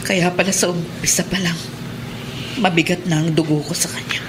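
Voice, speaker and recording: A woman's voice: on the low side, steady tone, normal speed, noisy background, lightly acted. A woman acting a middle-aged wife in a Tagalog radio drama, hesitant, emotional and humbled. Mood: subdued resentment. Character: once-resentful wife, humbled and regretful. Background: dramatic music.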